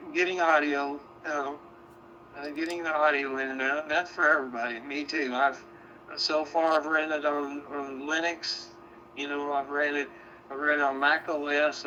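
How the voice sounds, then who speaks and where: Bright, forceful man on dull radio link. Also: a little high. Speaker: amateur radio operator. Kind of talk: casual technical radio chat. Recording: dull, noisy digital radio transmission.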